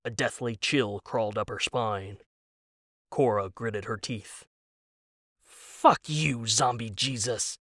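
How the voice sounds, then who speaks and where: A man narrating a scripted story as a dramatic audiobook, with deliberate, suspenseful delivery. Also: mid-range voice, fairly bright tone, no echo, studio quality.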